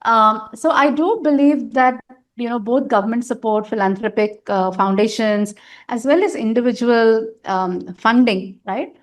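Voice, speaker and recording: A woman's voice: on the high side, unhurried. A middle-aged woman, a guest in a candid interview, talking at length with emphasis and frequent fillers. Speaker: interview guest, nonprofit governance advisor.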